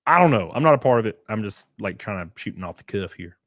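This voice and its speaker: Animated male streamer talking off the cuff. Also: lowish voice, fast, no room sound, studio quality. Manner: rambling, blunt, slangy. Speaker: streamer, host of a reaction stream. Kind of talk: casual off-the-cuff commentary.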